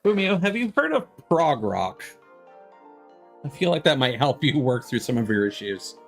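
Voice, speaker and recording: A man's voice: mid-range voice, sing-song, normal speed, faint music behind. A man voicing a thoughtful, concerned young woman as he reads anime game dialogue aloud.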